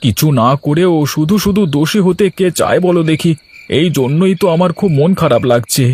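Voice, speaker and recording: A man's voice: a little high, lively intonation, brisk, a little mellow, husky. A man playing a humble, aggrieved labourer in a cartoon, plaintive and complaining. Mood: dejected, aggrieved. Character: humble aggrieved male labourer, husband, protesting innocence. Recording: light background music.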